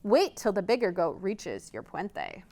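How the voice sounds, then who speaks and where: A woman's voice: mid-range voice, wildly swooping pitch, a little slow, warm tone. A woman voicing a small, clever male billy goat from a children's storybook, pleading and bargaining. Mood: persuasive.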